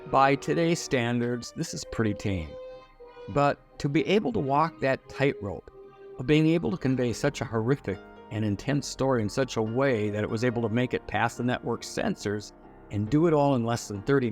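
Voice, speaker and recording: A man giving a scripted, storytelling narration for a television review video. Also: mid-range voice.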